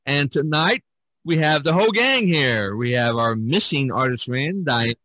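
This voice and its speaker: A man hosting a casual podcast, speaking in a halting, deliberate way. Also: mid-range voice, expressive, bright, forceful tone, dry recording. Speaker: podcast host.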